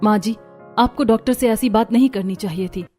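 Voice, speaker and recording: A woman's voice: a little high, fairly quick, a little mellow, breathy edge, soft background music. Woman voicing a worried poor young housewife. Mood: concerned. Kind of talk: cartoon story dialogue.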